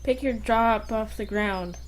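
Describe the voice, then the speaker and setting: A man doing a haughty falsetto girl. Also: a little slow. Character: arrogant, hostile teen girl.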